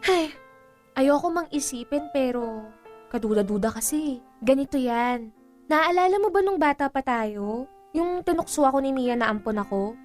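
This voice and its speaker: A woman's voice: high voice, animated, normal speed, pauses often, darkish tone. A woman playing a doubting, pouty teenage girl in a Filipino radio drama, with a Filipino accent. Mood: doubting, confiding. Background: background music.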